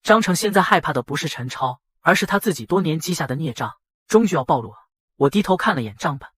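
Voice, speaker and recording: A man with a husky voice narrating a dramatized first-person story. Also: a little high, expressive, fast talker, fairly bright tone, no echo. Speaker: narrator and voice of the male lead.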